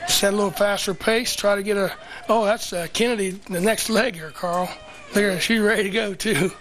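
A man with a hoarse voice giving chatty, rambling play-by-play at an outdoor track meet for local TV. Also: high-pitched, steady tone, brisk, poor audio. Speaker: local TV commentator. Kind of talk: casual play-by-play commentary.

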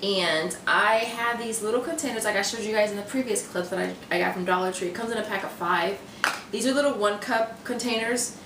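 A woman's voice: medium pitch, fairly quick. A young woman vlogging casually about meal prep in her home kitchen, chatting with lots of fillers and restarts. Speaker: fitness vlogger. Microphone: camera on counter a few feet away. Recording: roomy, distant, noisy camera audio.

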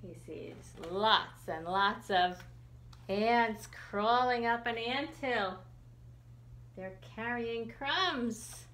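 Bright, expressive woman leading children's storytime. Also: fairly high pitch, very slow, echoey room, slight background noise. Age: middle-aged. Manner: expressive, asks questions of young listeners. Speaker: children's librarian, storytime reader. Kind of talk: children's picture-book storytime. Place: small room indoors.

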